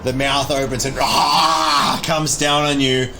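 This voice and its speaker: A man's voice: mid-range voice, flat delivery, slow pace, very noisy. A man acting as game master, narrating a tabletop roleplaying game and calling out rulings. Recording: music behind the voice. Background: music.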